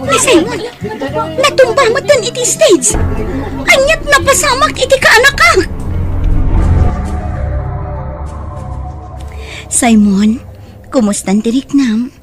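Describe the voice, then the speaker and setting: A woman with a Filipino accent playing a greedy, scheming aunt in an audio drama, expressive and falsely soothing. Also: very high voice, measured pace, long pauses, over music, full character voice. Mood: alarmed, soothing. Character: scheming greedy aunt exploiting her young singing nephew. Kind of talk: Ilocano audio drama acting.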